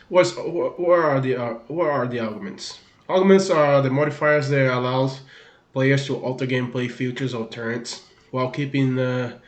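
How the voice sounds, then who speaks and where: A man from Brazil with a Brazilian Portuguese accent, giving a relaxed, filler-heavy voice-over about a video game. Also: medium pitch. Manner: relaxed, many fillers and restarts. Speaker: gaming YouTuber. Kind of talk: casual game guide voice-over. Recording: echoey room.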